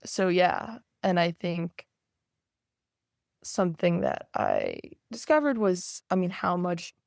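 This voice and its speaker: A podcast guest telling a personal story in a thoughtful, halting way, full of fillers and restarts. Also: mid-range voice, huge pitch swings, creaky, no echo, studio quality.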